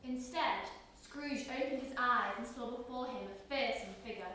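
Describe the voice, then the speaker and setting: A woman's voice: on the high side, normal speed, pushed and bright. A teenage girl narrating a radio play as scripted dramatic storytelling. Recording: distant, very echoey, noisy room.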